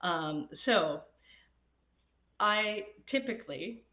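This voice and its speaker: Raspy-voiced woman on a tinny line. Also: medium pitch, expressive, extremely slow. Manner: chatty, laughs often, reads viewer comments. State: raspy from recent illness. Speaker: happiness coach. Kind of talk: casual live-stream talk. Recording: tinny phone-like sound in an echoey room.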